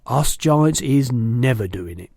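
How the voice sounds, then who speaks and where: A man's voice: mid-range voice, expressive, slow pace, a little mellow, no room sound, clean studio sound. Man voicing an exuberant, swooping old friendly giant. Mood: emphatic. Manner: emphatic, exclaiming, mangled words and grammar. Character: big friendly old giant, exuberant, indignant, muddled speech.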